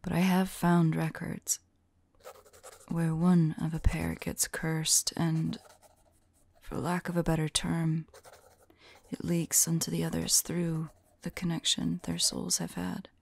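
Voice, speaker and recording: A woman playing a weary, devoted vampire lover in an ASMR audio roleplay, speaking softly and haltingly in a breathy, whispery voice. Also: sits low, flat delivery, heavily acted. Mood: weary, pensive. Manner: gentle, halting, hushed delivery. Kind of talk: ASMR audio roleplay, soft intimate acting.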